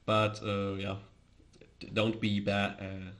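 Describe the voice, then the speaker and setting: A man from Austria with an Austrian accent and German as his first language, chatting casually on a livestream in a hesitant, halting way. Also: on the low side, completely flat delivery, fairly bright tone. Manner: hesitant, halting, chuckles often. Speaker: live streamer, host.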